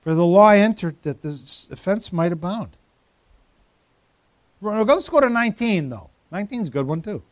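A man's voice: high-pitched, animated, normal speed, no echo. Man in his fifties preaching, dry sound. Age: 50s. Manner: conversational, teasing the congregation by name. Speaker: pastor. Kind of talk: sermon. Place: church.

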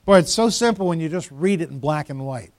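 A man's voice: a little high, expressive, a little fast, no echo. A pastor in his fifties giving a conversational sermon, teasing members of the congregation by name. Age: 50s. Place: church.

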